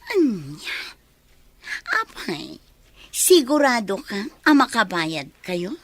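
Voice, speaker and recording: An actress playing a haughty, cruel wealthy wife in a radio drama, talking with biting scorn. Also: female voice, big pitch swings, slow, dry recording. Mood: dismissive. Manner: scornful, shrill when angered. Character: haughty, cruel wealthy wife who despises her rival. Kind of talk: Ilocano radio drama acting.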